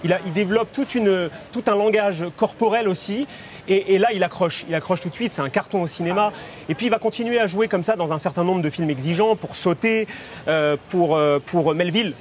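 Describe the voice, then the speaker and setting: A man's voice: sits high, fairly quick, a little hiss or hum. A man presenting a film segment on a talk show, enthusiastic and full of restarts. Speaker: film columnist. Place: outdoors at a café terrace.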